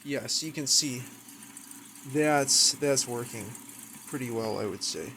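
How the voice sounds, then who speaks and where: A man's voice: medium pitch, slow pace, bright, forceful tone, a little hiss or hum. A man giving a casual, unscripted demonstration at home, musing and a little hesitant as he thinks aloud. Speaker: amateur video maker demonstrating equipment. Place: carpeted room at home, indoors.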